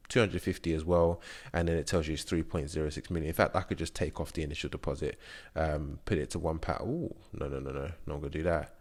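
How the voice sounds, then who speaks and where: A young man with a very low, deep voice talks viewers through an investing app screen by screen. He is chatty and explanatory, with frequent fillers. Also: darkish tone. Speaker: investing channel host. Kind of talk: screen-share app walkthrough.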